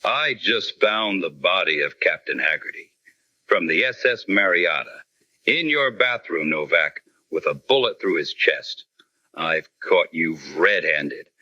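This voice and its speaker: A man plays a tough, accusing cop in a radio noir. His low voice is intensely hard-edged and forceful, with a smug bite. The recording is dry and slightly muffled. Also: expressive, a little slow, no room sound, full character voice. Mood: gloating, accusing. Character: hostile, accusing male police inspector, hard-nosed and smug.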